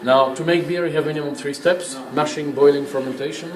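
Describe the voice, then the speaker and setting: A man guides a tour with an emphatic, didactic delivery. He explains informally but with firm opinions. The sound is roomy and echoing, with clear background noise. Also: a little high, fairly even intonation, a little fast. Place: indoors in a working brewery.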